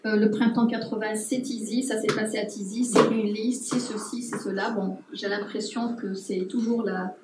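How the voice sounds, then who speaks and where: A woman anthropologist speaking at a public talk, pausing often with fillers and restarts. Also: on the high side, a little mellow, a little hiss or hum. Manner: hesitant, many fillers and restarts. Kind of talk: unscripted talk to an audience. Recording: roomy distant mic with faint ambience.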